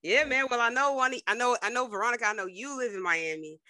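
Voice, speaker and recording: Bright, upbeat woman podcast host. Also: a little high, fast talker, no echo, studio quality. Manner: sing-song intro, slangy and upbeat. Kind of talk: casual interview chat.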